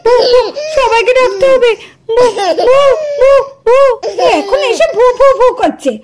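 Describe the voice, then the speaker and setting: A woman's voice: very high-pitched, a little slow, very noisy. A woman voicing a panicky young village aunt who stammers and shrieks in fear of ghosts. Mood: alarmed.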